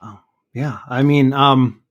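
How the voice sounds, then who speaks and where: A man's voice: mid-range voice, fairly even intonation, slow pace, highs cut off. A man co-hosting a casual podcast, reacting to the story with playful amusement. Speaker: podcast co-host.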